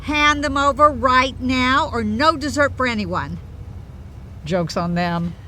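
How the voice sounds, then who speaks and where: A woman's voice: high-pitched, slow pace, a little hiss or hum, exaggerated voice. A woman playing a middle-aged mom on a cruise, playful and wry, in an audio drama comedy. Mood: smug, joking. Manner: wry, expressive delivery.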